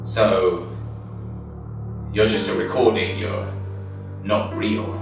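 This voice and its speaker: A man's voice: fairly low pitch, fairly even intonation, takes it slowly. An actor playing a confused, stubborn amnesiac man trapped in a loop, questioning everything with exasperation in a stage play. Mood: wary, puzzled. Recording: very echoey room, distant mic, faint music.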